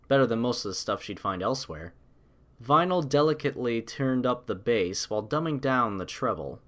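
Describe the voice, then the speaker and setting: A man's voice: medium pitch, animated, moderate pace, fairly bright tone. A man reading a scripted story aloud as an audiobook narrator.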